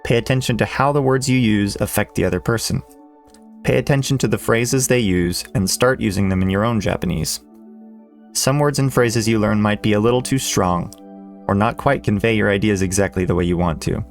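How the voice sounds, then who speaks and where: A man in his thirties, a language teacher, reading a measured, earnest voice-over lesson. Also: fairly low pitch, creaky. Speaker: Japanese teacher. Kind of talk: scripted educational voice-over. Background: soft background music.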